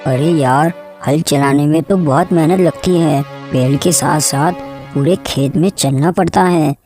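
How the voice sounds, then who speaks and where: A woman's voice: low voice, unhurried, mellow, faint music behind. A woman voicing a lazy, sly male farmer who makes wheedling excuses in a cartoon moral story. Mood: scheming.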